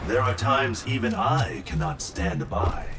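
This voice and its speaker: An actor playing a calm, measured monk traveling in search of meaning in a game. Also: male voice, mid-range voice, close to an everyday voice. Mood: serene. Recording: game audio with loud background noise.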